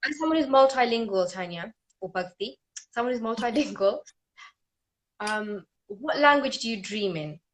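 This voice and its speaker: A woman's voice: on the high side, animated, normal speed, slight background noise. A woman hosting an interview, talking enthusiastically and often restarting her sentences. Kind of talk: casual conversational interview.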